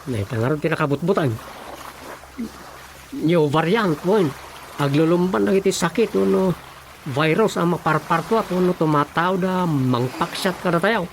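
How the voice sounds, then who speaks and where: A man's voice: a little high, a little slow, pauses often, slight background noise. A man with an Ilocano accent playing a folksy, chatty village elder in a radio comedy-drama. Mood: concerned, chatty. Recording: music behind the voice.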